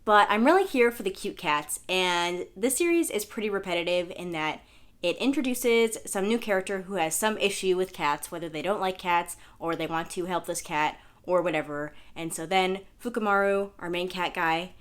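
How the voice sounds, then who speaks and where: A young woman, a bit hoarse from losing her voice, chatting casually at home to camera about what she has been reading, full of fillers and self-deprecating asides. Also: fairly low pitch, moderate pace. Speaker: manga vlogger. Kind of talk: casual review chat to camera. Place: indoors at home, sitting on the floor.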